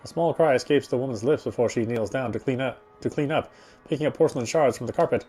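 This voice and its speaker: A man reading visual novel narration aloud in a dramatic, reflective way with deliberate phrasing. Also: medium pitch, mellow, soft background music. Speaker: game streamer reading aloud.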